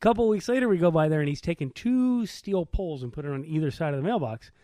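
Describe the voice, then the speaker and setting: A man's voice: a little high, lively intonation, fast talker, dark tone, no room sound, clean studio sound. A middle-aged man chatting casually and telling anecdotes with wry, irreverent humor.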